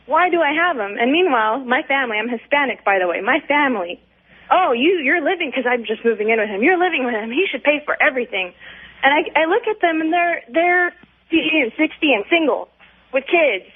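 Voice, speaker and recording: A woman's voice: high voice, animated, brisk. A woman calling a radio show over the telephone, chatting candidly in an emphatic, rambling way with strong opinions. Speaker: radio call-in listener. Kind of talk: casual, candid chat. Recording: tinny, narrow phone line.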